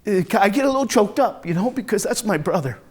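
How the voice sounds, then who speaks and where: Actor as volatile, higher-voiced hard-drinking Marine. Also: male voice, animated, breathy edge. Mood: tense, brooding. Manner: raw, profane, halting then bursting into anger. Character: volatile, hard-drinking, foul-mouthed Marine from a rough neighborhood, confessing. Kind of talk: first-person dramatic monologue, conversational storytelling.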